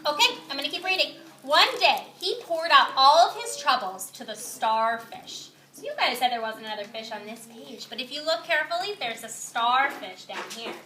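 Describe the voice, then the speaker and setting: A woman with a very high voice reads a picture book aloud to a class of young children. She explains things in simple words and checks in with the listeners. The recording is echoey, with classroom noise and clicks. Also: moderate pace, few pauses, pushed and bright. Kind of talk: expressive read-aloud with questions to children.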